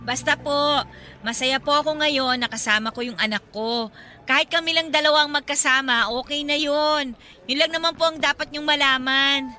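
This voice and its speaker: A woman's voice: high voice, moderate pace, bright, forceful tone. An actress playing a defensive young single mother in a radio drama, answering with sarcastic, indignant retorts. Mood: firm. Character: defensive young single mother home from Manila, sharp-tongued. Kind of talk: Tagalog radio drama acting. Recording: background music under dialogue.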